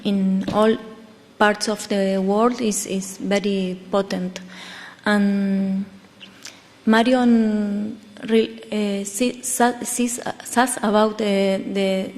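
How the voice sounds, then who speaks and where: A woman talks at a conference in a mid-range voice, very slowly and with care, stopping often to find the English. A long hall reverb trails her words. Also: medium pitch, a little mellow. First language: Spanish. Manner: careful, struggling for English words. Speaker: conference speaker. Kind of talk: halting conference discussion.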